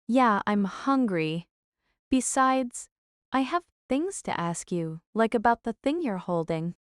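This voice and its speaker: A woman's voice: a little high, lively intonation, slow, smooth, dry recording, studio quality. A woman playing a confident, tomboyish teenage schoolgirl in an anime-style audio drama, frank and matter-of-fact.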